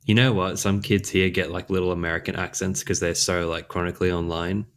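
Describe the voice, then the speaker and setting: A man's voice: sits low, little pitch movement, a little fast, fairly bright tone, slight background noise. A man from Australia with a mild Australian accent, co-hosting a podcast in laid-back, chatty conversation. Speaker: podcast co-host. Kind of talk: casual chat.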